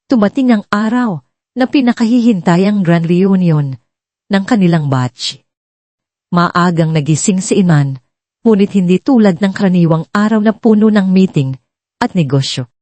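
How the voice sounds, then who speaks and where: Mellow woman narrating a story. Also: medium pitch, normal speed, no room sound. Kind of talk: scripted dramatic story narration.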